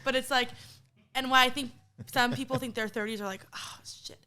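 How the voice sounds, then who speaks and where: A woman in her late twenties co-hosting a podcast, chatting frankly and thoughtfully, quick to laugh. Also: a little high, steady tone, pushed and bright, clear, resonant tone. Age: 20s. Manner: frank, reflective, laughs easily. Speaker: podcast co-host. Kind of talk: casual chat.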